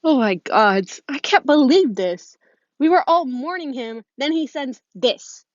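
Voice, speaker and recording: A woman's voice: high-pitched, expressive, unhurried, dry recording, voice pushed up. A woman voicing a hot-tempered, exasperated teenage girl with an emphatic manner in a story reading. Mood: outraged. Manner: emphatic, indignant. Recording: muffled phone recording.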